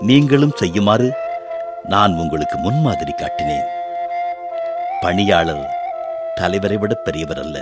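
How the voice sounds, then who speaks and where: A man playing a solemn, gentle, authoritative teacher in a biblical radio drama, speaking formally and with measured care. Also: lowish voice.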